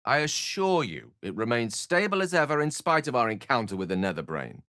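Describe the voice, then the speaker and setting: An actor playing a bookish, witty and earnest human wizard in a video game, with erudite, theatrical phrasing and a touch of melancholy. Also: male voice, mid-range voice, very animated, a little fast, bright, forceful tone, no room sound, studio quality. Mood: confiding. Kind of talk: video game character voice lines.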